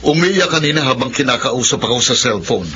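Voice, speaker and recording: A man's voice: mid-range voice, animated, a little fast, close to an everyday voice. An actor playing a husband in a radio drama who reproaches his wife for upsetting his mother. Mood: reproachful. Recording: lo-fi with clear hiss.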